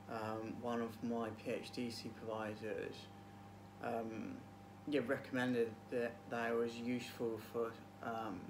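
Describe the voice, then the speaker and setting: A man in his twenties talks in a thoughtful, halting way, as if in casual chat at home. His voice sits at an average pitch. The recording has clear noise, picked up by a camera mic a little way off in a small room. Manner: hesitant, thoughtful, trailing phrasing. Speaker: book vlog guest, PhD student. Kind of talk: casual chat about books.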